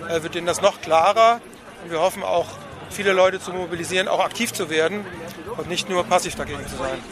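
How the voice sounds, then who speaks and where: Male activist interviewee, high voice, earnest and measured, crowded street around. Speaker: animal rights activist being interviewed. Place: outdoors at a demonstration stand. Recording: outdoor street recording with crowd noise.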